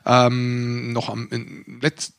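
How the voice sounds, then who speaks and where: A man's voice: mid-range voice, monotone, drawn-out words, vocal fry, fairly bright tone, clear, resonant tone. Dryly bantering man co-hosting sports talk. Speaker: podcast co-host. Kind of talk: casual sports talk among colleagues.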